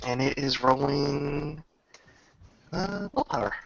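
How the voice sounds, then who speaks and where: A man with a gravelly voice acts as game master, casually narrating a tabletop roleplaying game with informal, descriptive talk. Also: on the high side, lively intonation, slow pace, dull audio.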